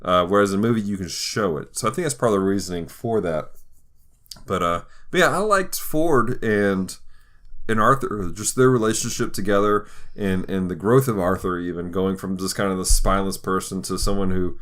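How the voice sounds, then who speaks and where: A man hosting a casual pop-culture talk, retelling scenes in an animated way and slipping into quoted voices. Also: low voice, moderate pace. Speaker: podcast host.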